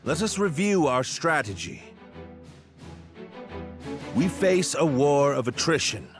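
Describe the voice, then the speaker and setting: A man voicing a sober, calculating royal military strategist in a video game, formal, measured and articulate. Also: fairly high pitch, very animated, music in the background, exaggerated voice. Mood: grave, strategic.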